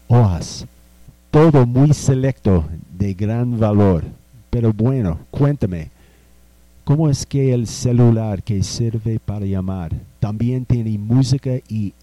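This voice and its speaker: A formal, courteous merchant from another era, as a man acts him out in a homemade radio drama. He speaks slowly in a low, dark, mellow voice, full of polite wonder. Faint music plays behind him. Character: courtly old-time male merchant lost in the present. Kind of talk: amateur radio drama acting.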